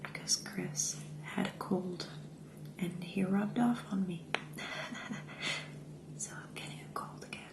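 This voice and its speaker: A woman from the Netherlands whispering slowly for an ASMR video, getting a cold, recorded on a binaural mic at home. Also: medium pitch, vocal fry, echoey room, low-quality recording. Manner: slow, soft whisper, describing what she does. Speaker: ASMR creator. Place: home room with glossy floor.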